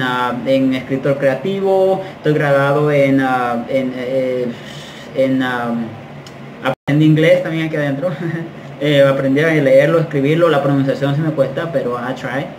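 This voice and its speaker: A man's voice: mid-range voice, darkish tone. A man in his thirties telling his story to camera in a casual, slangy, frank way, gesturing a lot as he talks in a small bare tiled room. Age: 30s. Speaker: vlogger. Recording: echoey room, faint background noise.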